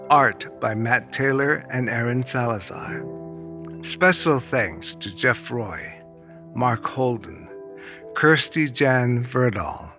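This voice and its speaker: Guy reading show credits, slow and neutral, average pitch, faint music under. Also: bright, forceful tone. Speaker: credits announcer. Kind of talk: scripted credits read.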